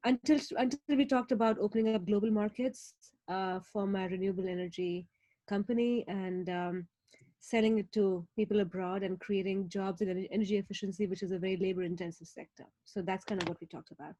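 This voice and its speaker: A woman with an Indian accent recounting a role play on a video call, relaxed and easygoing. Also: medium pitch, brisk, dry recording, rough recording. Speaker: workshop participant. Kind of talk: casual recap on a video call.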